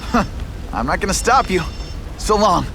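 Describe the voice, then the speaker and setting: A man's voice: high voice, very animated, moderate pace, creaky, noisy background, exaggerated voice. A man voicing a wisecracking young masked superhero in a video game, with a quippy, playful way of talking. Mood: subdued, friendly. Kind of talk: animated video game voice acting. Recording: loud music over the voice. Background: loud music.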